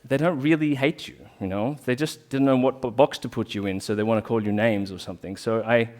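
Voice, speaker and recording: A man's voice: medium pitch, steady tone, a little fast, a little mellow. Earnest man giving a talk. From Australia. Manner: earnest, reflective, with restarts and fillers. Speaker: talk speaker, rolfer. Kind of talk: informal community talk.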